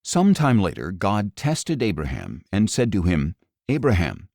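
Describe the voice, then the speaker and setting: Deep-voiced man reading scripture expressively. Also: no room sound, clean studio sound. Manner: measured, expressive dramatic reading. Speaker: audio Bible reader.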